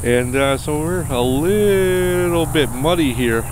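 A man in his forties vlogging outdoors from an RV campground, chatty and excitable, rambling with restarts. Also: on the high side, takes it slowly, noisy background. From New Hampshire. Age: 40s. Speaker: RV travel vlogger. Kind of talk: casual vlog talk to camera.

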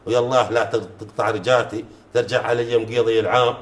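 A middle-aged man, a folk poet chanting verses in measured recitation during a casual sit-down talk in a living room, on a lapel mic. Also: mid-range voice, level tone. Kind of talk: reciting folk poetry in conversation. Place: living room sofa, indoors. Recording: roomy echo.

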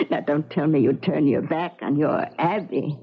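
A woman's voice: deep voice, unhurried, slight background noise. An actress playing an affectionate, conspiratorial maidservant in a 1970s radio drama, motherly and deferential. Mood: fussing, coaxing. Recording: slightly dull 1970s radio broadcast.

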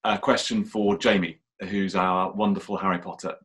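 A middle-aged man with a fairly low voice hosts a Q&A. He puts questions to guests over a video call from his living room. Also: little pitch movement, normal speed, a bit bright. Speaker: host, interviewer. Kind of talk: hosting a Q&A, posing questions.

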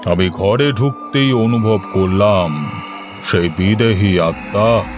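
A man's voice: fairly low pitch, slow pace, music in the background. A man narrating a scripted horror story for a cartoon.

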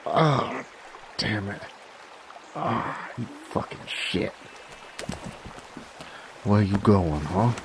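A man playing a gruff, menacing werewolf bounty hunter in an audio roleplay, with an American Southern accent and a folksy, threatening cowboy drawl. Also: very animated, extremely slow, pauses often, heavily acted. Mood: angry, straining. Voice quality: very deep, gravelly. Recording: clear trickling stream noise behind. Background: flowing water ambience.

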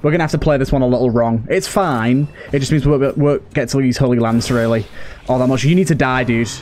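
A man's voice: mid-range voice, quick pace, darkish tone, slight background noise. A man giving casual let's play commentary as a gaming host, talking to himself and to the characters on screen. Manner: talks to himself and to game characters.

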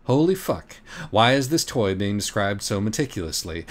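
Man, fairly low voice, scripted comic narration, mock-dramatic, scornful, profane. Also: very animated, moderate pace. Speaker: audiobook reader.

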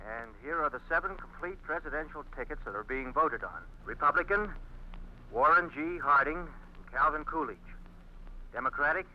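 A man playing a formal, measured 1920s radio announcer, on a dull vintage-style radio recording. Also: on the high side, close to an everyday voice.

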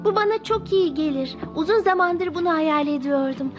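An actress playing a sweet, determined young girl in an animated children's series, gentle and polite. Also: very high-pitched, moderate pace. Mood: happy, hopeful. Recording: music behind the voice.

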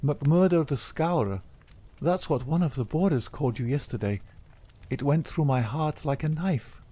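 A man's voice: a little high, animated, moderate pace, warm tone, husky, voice pushed up. A man reading aloud, voicing a young woman who anxiously pleads with her outlaw lover. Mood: pleading. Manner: imploring, breathless, emotional.

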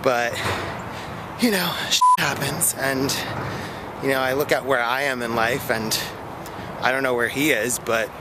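A man's voice: mid-range voice, fairly even intonation, a little slow, long pauses. A man in his thirties talking to a phone held at arm's length while walking in a park, chatty and rambling with many fillers and out of breath. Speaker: vlogger. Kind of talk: casual walking vlog. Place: outdoor park path. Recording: noisy outdoor phone recording.

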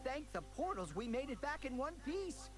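Earnest, fussy little game scholar, explaining things, music behind. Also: male voice, extremely high pitch, very animated, normal speed. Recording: game audio under music.

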